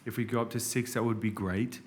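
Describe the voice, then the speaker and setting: Chatty Australian man, low voice. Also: fairly even intonation, fast. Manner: enthusiastic, chatty, many restarts and fillers. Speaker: podcast guest, restaurant operator. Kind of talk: casual interview chat.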